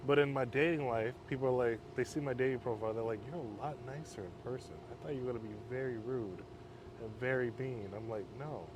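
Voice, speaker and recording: Male counselor guest, measured and flat-toned, casual talk recorded outside near traffic. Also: mid-range voice. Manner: calm, measured, self-described monotone. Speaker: interview guest, halfway home counselor. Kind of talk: casual conversation. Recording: outdoor street noise.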